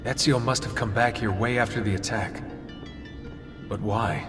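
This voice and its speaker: An actor playing an easygoing young hero in a video game, speaking plainly and conversationally. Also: male voice, on the low side, steady tone, normal speed, close to an everyday voice. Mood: curious. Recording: echoey hall, game music behind.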